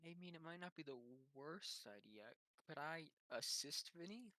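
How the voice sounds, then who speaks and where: A man's voice: on the high side, big pitch swings, moderate pace, pushed and bright, dry recording. A man chatting casually as a player in a tabletop game.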